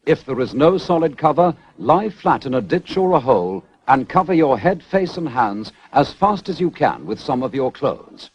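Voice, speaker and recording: A British man reading a 1970s public information film script in a measured, formal, instructional way. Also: fairly high pitch, sing-song, breathy edge, no room sound. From United Kingdom. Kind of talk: scripted narration, 1970s civil defence announcement. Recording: dull, band-limited 1970s film soundtrack.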